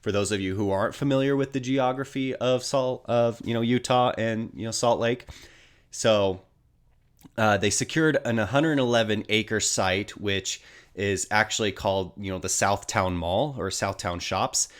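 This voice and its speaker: A man's voice: on the low side, normal speed, clean tone. An American man getting over a cold, slightly nasal, giving casual hockey news commentary in a hesitant, rambling way.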